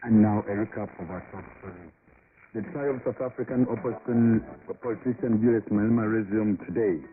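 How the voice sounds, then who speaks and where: A man's voice: lowish voice, brisk, noisy background. A man reading scripted news as a radio presenter and reporter. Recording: muffled, narrow-band shortwave radio broadcast.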